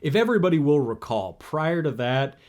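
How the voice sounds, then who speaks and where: A man's voice: on the high side, big pitch swings, moderate pace, a little mellow. Rambling young man, podcast co-host. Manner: rambling, full of fillers and restarts, chatty. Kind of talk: casual chat.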